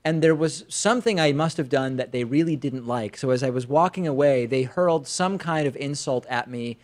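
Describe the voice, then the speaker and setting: American male talk show host, medium pitch. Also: brisk. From Argentina, moved to the United States at five. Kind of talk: conversational storytelling and commentary.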